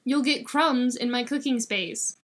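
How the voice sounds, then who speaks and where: A woman's voice: on the high side, unhurried, a bit bright. A woman voicing a laid-back, kind young male student in a story, speaking in a casual, blunt, slangy way. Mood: matter-of-fact.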